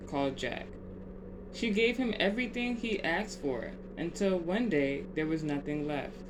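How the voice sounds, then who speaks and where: A read-aloud narrator tells a bedtime story at a slow pace. The pitch sits in the middle and the phrasing is measured. There is clear noise in the background.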